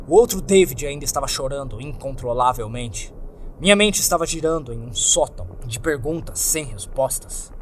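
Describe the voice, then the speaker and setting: A man's voice: medium pitch, slight background noise. A man with a Brazilian accent reading a scripted horror story as narrator, in a dramatic, suspenseful, theatrical way. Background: music.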